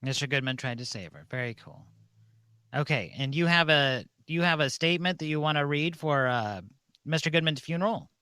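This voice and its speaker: A man's voice: fairly high pitch, a little fast, pushed and bright, smooth, dry recording, clean studio sound. A man casually hosting a comedic ceremony on a livestream. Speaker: host of a comedic mock-church livestream.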